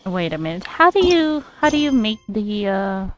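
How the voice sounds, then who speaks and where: A woman doing casual gameplay commentary, thinking aloud in a hesitant, halting way. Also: mid-range voice, very animated, a little hiss or hum. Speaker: game streamer.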